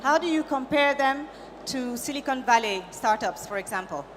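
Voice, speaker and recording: A woman moderating a conference panel, asking slow and deliberate questions. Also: high voice, expressive, a bit bright. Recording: conference hall reverb, faint noise.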